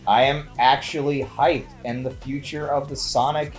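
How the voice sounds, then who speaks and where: Male review co-host, mid-pitched, dry and mock-serious banter over a light music bed. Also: fairly even intonation, moderate pace. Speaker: movie review show co-host. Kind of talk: casual banter and film review.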